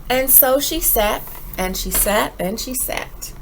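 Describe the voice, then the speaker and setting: A woman reading a children's storybook aloud like a teacher, with dramatic pauses and emphatic phrasing. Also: on the high side, creaky, background noise. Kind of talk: expressive children's read-aloud, rhyming storybook narration.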